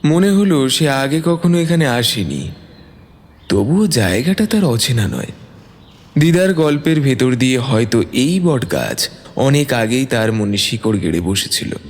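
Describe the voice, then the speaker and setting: A man's voice: medium pitch, sing-song, unhurried. A man narrating a scripted dramatic story as a storyteller. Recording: long reverb, music bed. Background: music.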